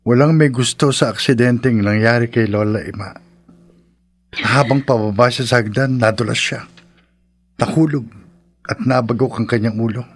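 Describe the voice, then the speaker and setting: An actor with a Filipino accent playing a caring, practical husband in a horror radio drama. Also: male voice, mid-range voice, normal speed, long pauses, close to an everyday voice. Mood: consoling. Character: consoling, practical husband, caring but skeptical.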